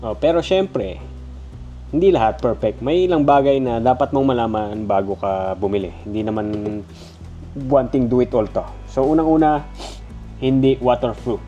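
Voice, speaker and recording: Filipino male reviewer, mid-pitched but on the mellow side, conversational, congested nose, faint music underneath. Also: normal speed, dull audio. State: stuffy nose. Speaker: product reviewer. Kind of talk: casual, conversational gadget review.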